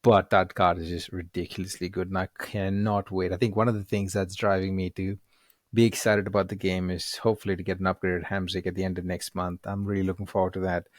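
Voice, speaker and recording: A man's voice: low-pitched, little pitch movement, a little fast, dry recording, studio quality. A man co-hosting a podcast, talking in a chatty, enthusiastic way. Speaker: podcast co-host. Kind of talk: casual podcast chat.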